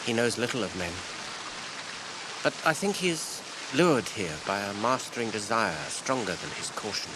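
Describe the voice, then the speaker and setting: A troubled British wanderer, acted by a man, earnest and guarded, with very expressive intonation. The radio drama plays over hiss and rushing water. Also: on the low side, close to an everyday voice. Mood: guarded, sorrowful. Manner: hesitant, careful, earnest. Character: earnest, troubled small male traveller, guarded yet compassionate. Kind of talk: BBC radio drama acting. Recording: hissy radio recording, loud falling water.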